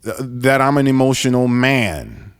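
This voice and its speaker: A man in his forties hosts his podcast alone, speaking earnestly and stumbling through many restarts. His voice is smooth and resonant, and a long reverb hangs behind it. His pitch sits in an ordinary middle range. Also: big pitch swings, a little slow. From New York, raised in Florida. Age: 40s. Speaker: podcast host. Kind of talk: solo podcast monologue.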